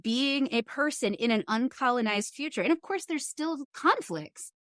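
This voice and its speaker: A woman's voice: sits high, lively intonation, normal speed, fairly bright tone, dry recording. Earnest woman podcast host, dry sound. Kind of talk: casual conversational interview.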